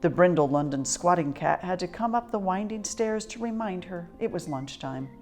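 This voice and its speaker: A woman reading a children's picture book aloud as an expressive, dramatic storyteller. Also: on the low side, moderate pace, soft background music. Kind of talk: expressive scripted story narration.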